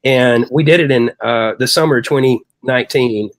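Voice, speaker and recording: A middle-aged man talks in a chatty, long-winded storytelling style. His voice sits at an average pitch for a man. The sound is dry, with almost no room in it. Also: normal speed, no room sound. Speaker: rock singer, guest. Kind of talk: casual anecdotal storytelling.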